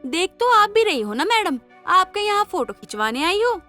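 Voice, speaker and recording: An outspoken young wife in an audio story drama, acted by a woman. Her high voice swings expressively up and down, over background music. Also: very animated, normal speed, pushed and bright, heavily acted. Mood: snappy. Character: outspoken, feisty young wife quick to complain. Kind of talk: Hindi moral-story audio drama acting.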